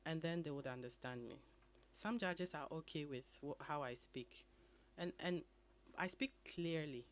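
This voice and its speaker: A woman with a Ghanaian accent, an immigration attorney answering questions calmly and methodically as a radio studio guest. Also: low voice, slight background noise. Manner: measured, explanatory.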